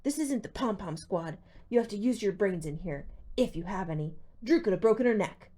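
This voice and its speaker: A woman's voice: medium pitch, lively intonation, a little fast, mellow, close to an everyday voice. A woman voicing a livid, scornful female gym teacher in an audiobook, with a barking manner.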